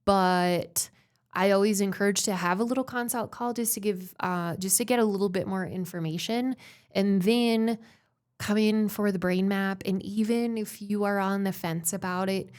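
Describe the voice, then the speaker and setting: A woman's voice: medium pitch, fairly even intonation, moderate pace, darkish tone, smooth. A woman from Canada with a mixed German and Canadian accent, explaining brain mapping in an upbeat, practical way as a podcast guest. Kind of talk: casual interview answers.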